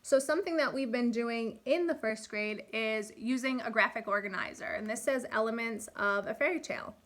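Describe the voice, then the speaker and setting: A woman with a fairly high, very bright voice speaks as a primary school teacher. She is expressive and instructive, guiding young listeners with questions. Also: moderate pace. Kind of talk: read-aloud and lesson for young children.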